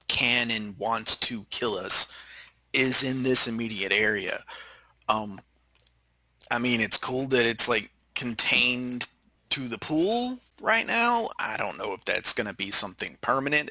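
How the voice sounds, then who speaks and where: Very bright, forceful man, dry sound. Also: medium pitch, dry recording, low-quality recording. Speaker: tabletop game player. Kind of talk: casual in-game discussion.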